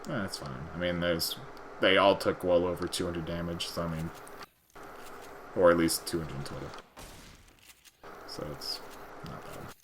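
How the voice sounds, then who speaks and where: Low-voiced man casting a game, noisy recording. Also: steady tone, measured pace, pauses often. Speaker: esports match caster. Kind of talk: live game commentary with casual chat.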